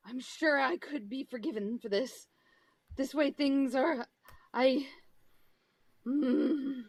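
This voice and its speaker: A young woman playing a lonely, despairing survivor in a radio play, halting and sighing with melodramatic feeling. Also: high voice, no room sound, heavily acted. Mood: hesitant, weary. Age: young adult. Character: lonely, despairing lone survivor of a catastrophe, suddenly overjoyed. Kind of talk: radio play table read.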